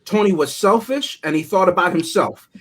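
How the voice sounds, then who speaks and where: A man's voice: sits high, expressive, moderate pace, over a noisy crowd. A man on a film review panel arguing casually, challenging the others and repeating phrases for emphasis. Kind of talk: casual argumentative debate.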